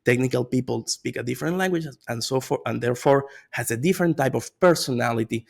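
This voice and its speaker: Man, gravelly voice, lively casual lecture, keeps asking "okay?" and "right?". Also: medium pitch, fast talker. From Venezuela. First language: Spanish. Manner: energetic, conversational, frequent "okay?" and "right?" tags. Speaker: AI consultant presenting a course. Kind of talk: informal online lecture.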